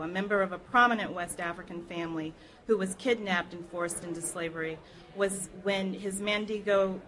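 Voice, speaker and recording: A woman reading a nonfiction history text aloud in a measured, earnest, formal way. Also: lowish voice, fairly bright tone, poor audio. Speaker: reader at a public library program.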